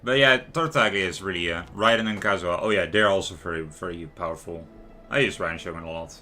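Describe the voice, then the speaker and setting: Bright-voiced man streaming casually. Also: sits low, fast. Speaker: game streamer. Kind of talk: casual livestream commentary. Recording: music playing behind.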